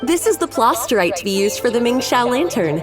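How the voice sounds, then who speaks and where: A woman's voice: on the high side, sing-song, a little fast. A woman voicing a friendly young festival organizer in a video game, explaining things in a welcoming, informative way. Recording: voice a bit distant. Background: game music.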